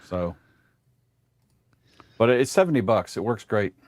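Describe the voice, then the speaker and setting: A man's voice: lowish voice, lively intonation, unhurried, no echo. A man guesting on a livestream, explaining tech gear in a matter-of-fact, informal way. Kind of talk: casual explanatory tech chat.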